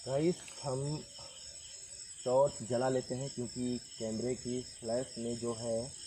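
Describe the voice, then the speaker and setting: A man from northern India hosting a ghost-hunting vlog, speaking earnestly and haltingly, repeating himself as he addresses spirits and viewers. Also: mid-range voice, level tone, dark tone. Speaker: paranormal vlog host. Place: outdoors at night by a tree. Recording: noisy outdoor night recording with insect sounds.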